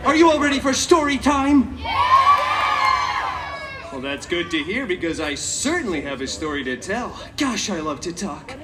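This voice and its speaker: A man's voice: sits high, sing-song, moderate pace, a loud crowd, very noisy. A man playing a cheeky, chatty masked antihero who tells stories theatrically with sarcastic asides at a live show, heard through a distant PA. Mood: showy, excited. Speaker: theme park show performer. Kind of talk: live comedic storytelling show for a crowd. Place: live outdoor theme park stage. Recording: distant PA sound with loud music. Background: loud music.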